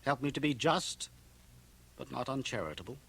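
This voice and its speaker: An actor playing a stern, pious small-town minister, solemn and righteous, in a radio drama. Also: male voice, medium pitch, expressive, normal speed, vocal fry, fairly bright tone, husky, full character voice. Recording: 1980 radio drama from home cassette transfer.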